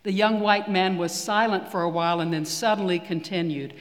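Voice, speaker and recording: A man preaching a sermon in a church, measured and earnest with deliberate pauses. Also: sits high, steady tone. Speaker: priest. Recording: long reverb of a church hall.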